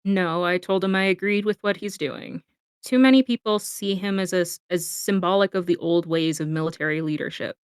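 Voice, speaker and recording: A woman's voice: on the low side, brisk, smooth, no room sound, clean studio sound, lightly acted. A woman voicing a firm, earnest male robot second-in-command with an insistent manner in an amateur comic dub. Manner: insistent, stern.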